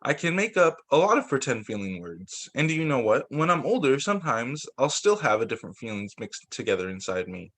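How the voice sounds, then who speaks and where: A man reading storybooks aloud as a teacher for young children, in an expressive way with the occasional stumble and re-read phrase. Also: mid-range voice, fairly quick, few pauses.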